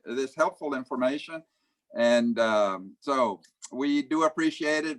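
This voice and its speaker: A man chairing an online club meeting over a video call, talking in a halting way with many fillers. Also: mid-range voice, fairly bright tone.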